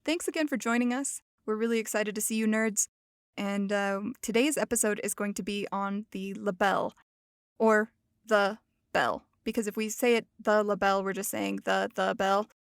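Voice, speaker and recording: A woman's voice: medium pitch, fairly even intonation, moderate pace, clear, resonant tone, no room sound. A woman hosts a podcast in a loose, joking teaching manner, poking fun at herself as she goes. Her voice sits mid-range and is recorded dry and close with no room sound. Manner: playful, jokey, self-mocking. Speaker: podcast host. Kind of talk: casual educational podcast talk.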